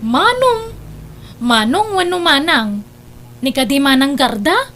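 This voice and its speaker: Casting: actress as young teasing Ilocano neighbour, radio comedy; extremely high, piercing bright voice, noisy track. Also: female voice, very animated, takes it slowly, heavily acted. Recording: clearly noisy recording.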